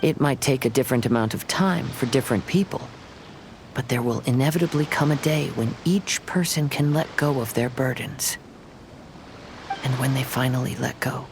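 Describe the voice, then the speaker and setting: A man playing a gentle, reflective young wandering samurai in a video game, speaking in a measured way. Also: mid-range voice, gravelly, background noise, natural voice. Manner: calm, measured, contemplative. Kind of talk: scripted video game dialogue. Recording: loud music under the voice.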